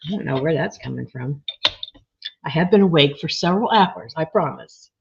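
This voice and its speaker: A woman with a slightly froggy, hoarse voice, chatting casually as she works on a craft project and reads viewer comments. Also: deep voice, animated, normal speed, a little mellow. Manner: chatty, thinking aloud while working, reading comments. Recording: dull lo-fi sound.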